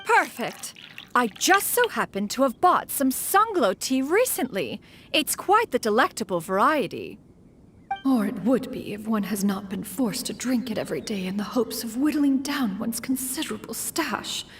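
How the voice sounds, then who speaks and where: A prim, archaic-speaking immortal. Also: female voice, a little high, sing-song, moderate pace, a bit bright, slight background noise. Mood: genteel. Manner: formal, archaic speech. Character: old adeptus woman, prim, scheming, refers to herself as "one". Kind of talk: game voice acting.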